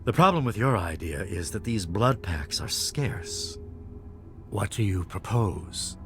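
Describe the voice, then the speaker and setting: A grave leader of a vampire clan in a video game. His low voice moves expressively through formal, courteous, measured lines. Music plays clearly behind him. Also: expressive. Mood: measured, serious.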